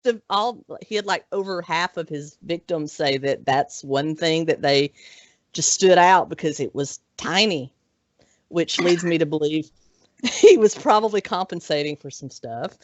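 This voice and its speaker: A woman in her forties hosting a podcast in casual chat, chatty and quick to laugh. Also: sits low, no room sound. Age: 40s. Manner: chatty, laughs often, trails off. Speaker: podcast host. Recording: dry, dull lo-fi audio.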